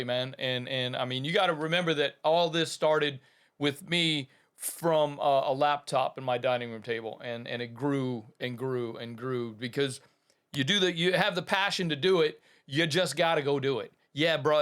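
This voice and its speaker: A man's voice: medium pitch, a bit bright, clear, resonant tone. A man, talking casually to viewers as host of an audio production channel and then reading out a short story. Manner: rambling and chatty, many fillers and restarts, keeps saying "man".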